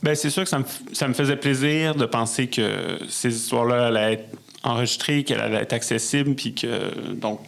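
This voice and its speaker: A man with a Québécois French accent, speaking as a guest in a casual interview, often halting to search for words. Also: medium pitch, vocal fry, a bit bright. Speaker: podcast guest, musician and conductor.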